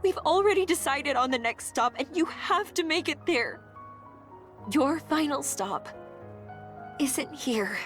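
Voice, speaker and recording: An actress voicing an earnest, insistent young train crew member in an anime-style game, pleading and emphatic. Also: female voice, sits high, lively intonation, takes it slowly, long pauses, fairly bright tone, faint music behind, exaggerated voice. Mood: earnest insistence.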